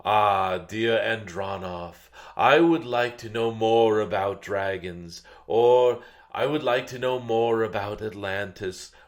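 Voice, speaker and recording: Guy doing an ancient wise dragon spirit, really slow n lowish, gentle guided meditation vibe, little hums between phrases. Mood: reverent, inviting. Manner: slow, solemn, pausing with murmured hums. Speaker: spiritual channeler. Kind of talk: channeled spiritual message, guided-meditation delivery.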